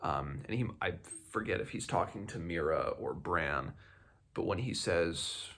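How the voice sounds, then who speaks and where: A man hosting a book read-along, giving casual commentary in a conversational, halting way as he thinks aloud. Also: sits low, a bit bright, slightly rough.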